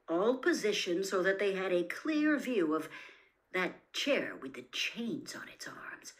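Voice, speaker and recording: A woman reading a story aloud as scripted audiobook-style narration. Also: medium pitch.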